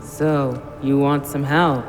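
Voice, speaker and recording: An actor playing an otherworldly male spirit guide who speaks in a solemn, gently coaxing manner in an audio drama. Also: mid-range voice, flat delivery, takes it slowly, lightly acted. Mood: solemn, luring. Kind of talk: naturalistic audio drama acting. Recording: long cavernous reverb over music. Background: music.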